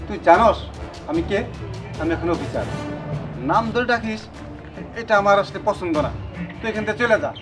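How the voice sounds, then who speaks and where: An actor playing an arrogant, scolding officer who looks down on villagers, in a Bangladeshi accent. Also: male voice, sits high, animated, normal speed, pauses often, over music. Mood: irritated. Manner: haughty, scolding. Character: arrogant city officer scorning his village friend. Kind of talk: Bangla short-film drama acting.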